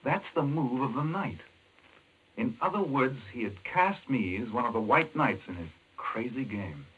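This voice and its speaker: An actor playing an intense, urgent doctor in a 1940s American radio mystery, explaining things emphatically. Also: male voice, mid-range voice, expressive, moderate pace, husky. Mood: fascinated, grave. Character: intense, obsessed male chess-playing doctor explaining a madman's scheme. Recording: muffled 1940s radio recording.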